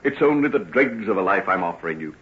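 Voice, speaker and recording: An actor playing a troubled, remorseful gentleman doctor, earnest and theatrical, in an old-time radio drama. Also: deep voice, lively intonation, fast talker, husky. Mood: anguished, remorseful. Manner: earnest, theatrical, formal phrasing. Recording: muffled, noisy old radio recording.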